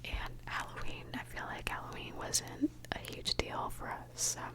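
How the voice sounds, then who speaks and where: A young woman from Mexico, an ASMR creator, whispering a candid, chatty question-and-answer session. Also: normal speed.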